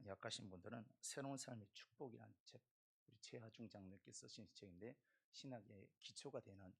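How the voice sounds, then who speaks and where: A male pastor, hoarse from a throat cold, slowly reading scripture and introducing a guest speaker. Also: lowish voice. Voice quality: gravelly, husky. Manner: slow, deliberate scripture reading. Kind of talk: church service introduction.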